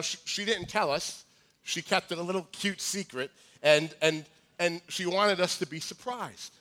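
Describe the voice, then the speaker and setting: A man in his forties with a high voice, preaching a sermon in a joking, conversational way with many restarts. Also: pushed and bright. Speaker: pastor. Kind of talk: sermon with humorous asides.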